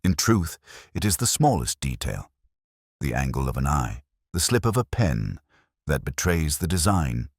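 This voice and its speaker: A man voicing a cool, detached Victorian detective in an audiobook, speaking formally and quietly in aphorisms. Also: extremely low pitch, very animated, a little slow, no room sound, studio quality, heavily acted. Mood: musing, quiet. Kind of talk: audiobook character dialogue.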